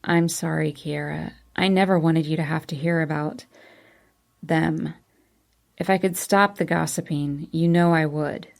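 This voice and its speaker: A woman reading a story aloud, voicing a tender young man who murmurs low and intimately. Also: fairly even intonation, a little slow, vocal fry. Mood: apologetic.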